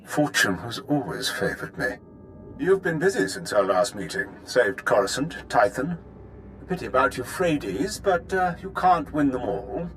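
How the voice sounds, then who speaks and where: A man playing a smug, gloating Imperial villain commander in a video game, mocking and theatrical with savouring pauses. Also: mid-range voice, very animated, fairly bright tone, slight background noise.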